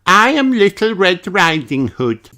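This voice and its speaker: A man acts out a young girl pig from a children's storybook. The voice is pitched very high, bright with excitement and proud, with emphatic, childlike exclamations. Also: a little slow, heavily acted. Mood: theatrical.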